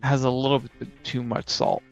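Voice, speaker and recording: A man's voice: medium pitch, little pitch movement, moderate pace, vocal fry, faint music behind. A man co-hosting a podcast in casual chat, easygoing and understated, on a slightly dull-sounding recording. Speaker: podcast co-host.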